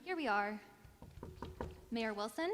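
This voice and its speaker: Officious assistant, high voice, radio play, reverberant hall, faint noise. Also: female voice, expressive, slow, a bit bright. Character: officious woman assistant to a mayor. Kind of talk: live radio play acting. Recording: echoey hall, some noise.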